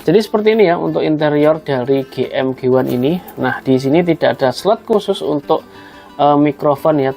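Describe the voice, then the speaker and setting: A man with a gravelly, creaky voice hosts a casual tutorial over background music. His pitch sits in the middle range. He talks conversationally through each hands-on step, often addressing viewers as friends. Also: a little mellow. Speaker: host of a tutorial video.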